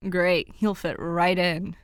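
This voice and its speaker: A woman voicing a sarcastic, sleep-deprived young man in a fan fiction story, deadpan and mock-formal. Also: fairly low pitch, takes it slowly, smooth, no echo, clean studio sound.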